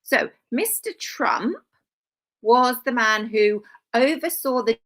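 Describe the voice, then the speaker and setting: A woman's voice: fairly high pitch, animated, takes it slowly, a bit bright. Sarcastic woman hosting a live stream. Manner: sarcastic, dry asides; rambling, self-correcting. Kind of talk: casual live-stream commentary.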